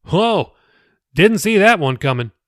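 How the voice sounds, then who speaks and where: Storybook pastor, read by a man: middle-aged, kindly, folksy and hesitant, pitched up, close dry sound. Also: sing-song, a little slow, no echo. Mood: concerned. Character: kindly middle-aged male pastor, chatty, gently teasing.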